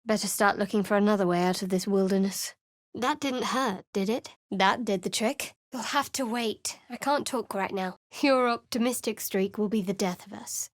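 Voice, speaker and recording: A woman's voice: medium pitch, normal speed, dry recording, close to an everyday voice. A woman voicing a guarded young cleric in a video game, with a dry, cutting, sarcastic manner. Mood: sardonic. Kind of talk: studio video game voice acting. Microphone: close studio mic.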